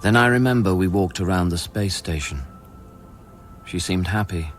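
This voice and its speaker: Radio play casting: actor for a guilty, brooding man, deep bass register, intimate and measured, soft music underneath. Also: natural voice. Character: brooding, guilty man soothing his troubled lover. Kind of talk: radio drama acting with introspective narration.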